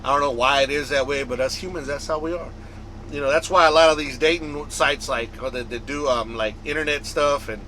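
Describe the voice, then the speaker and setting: A man's voice: mid-range voice, bright, forceful tone, slight background noise, rough recording. A man from the United States, a trucker vlogger, talking casually to his viewers in a rambling, conversational way that circles back and repeats. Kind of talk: casual vlog talk to viewers.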